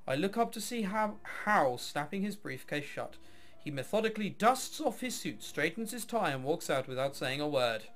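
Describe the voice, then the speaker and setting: Animated high-pitched man reading a game aloud. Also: moderate pace. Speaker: video game let's player. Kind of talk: reading a visual novel aloud with casual commentary.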